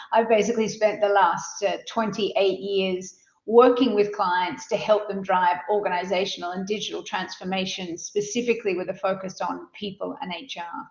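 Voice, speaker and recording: A middle-aged woman with an Australian accent talks through a webinar, steady in pitch and often restarting phrases with fillers. The sound is muffled and roomy, as if picked up in an echoing room. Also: moderate pace. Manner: fluent, with frequent fillers and repeated words. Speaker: advisory leader, webinar panelist. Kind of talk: webinar presentation. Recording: muffled audio, roomy echo.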